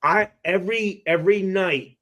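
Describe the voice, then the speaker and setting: High-voiced man telling a sweary story. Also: a bit bright. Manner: halting, repetitive, acts out exchanges. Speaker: podcast guest telling a story. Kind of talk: animated casual storytelling, heavy swearing.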